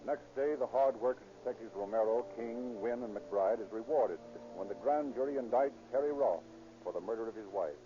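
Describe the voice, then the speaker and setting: An actor as a formal narrator reading scripted narration for a 1930s radio police drama. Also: male voice, mid-range voice, a little fast, natural voice. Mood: formal, serious. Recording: muffled 1930s radio recording.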